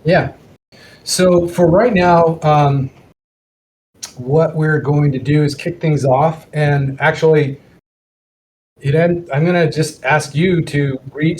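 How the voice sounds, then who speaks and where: A man facilitating a casual online meeting, talking haltingly as he thinks aloud. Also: a little high, darkish tone, poor audio. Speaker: working group meeting facilitator. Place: online group call. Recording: online call with clear background noise.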